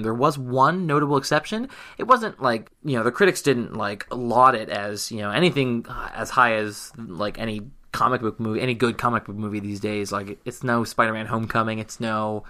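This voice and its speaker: A man with a bright, forward voice at a middle pitch co-hosts a casual podcast. He talks conversationally and offers his own opinions as he discusses. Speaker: podcast co-host.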